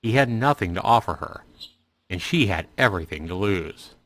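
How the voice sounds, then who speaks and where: Low-pitched man reading a story. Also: expressive, moderate pace, fairly bright tone. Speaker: host reading a visual novel aloud to viewers. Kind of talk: dramatic storytelling narration. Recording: music behind the voice. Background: music.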